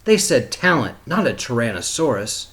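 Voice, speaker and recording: Man reading as a taunting, animated schoolgirl. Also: medium pitch, moderate pace, vocal fry. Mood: mocking. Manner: dismissive, taunting. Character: mean, mocking young schoolgirl.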